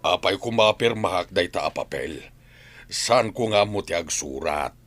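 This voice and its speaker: A man's voice: low-pitched, animated, moderate pace, a bit bright, no echo, faint music behind. A man with an Ilocano accent playing a stubborn, wary village husband and father in an audio drama. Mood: defiant. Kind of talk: scripted audio drama acting.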